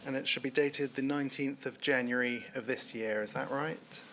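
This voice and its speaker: A man's voice: mid-range voice, fairly even intonation, moderate pace, sharp tone, a little hiss or hum. A man serving as counsel who questions a witness at a formal hearing in a polite, courteous way, with frequent ums.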